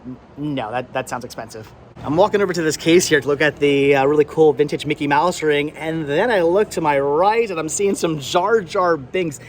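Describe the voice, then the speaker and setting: A man's voice: fairly high pitch, normal speed. A middle-aged American man chatting casually and enthusiastically in a rambling vlog style, often repeating words as he goes. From Pennsylvania, United States. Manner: enthusiastic, nostalgic, stammering repeats. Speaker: host of a thrifting vlog. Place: flea market, among vendor stands. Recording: clear background noise, flea market ambience.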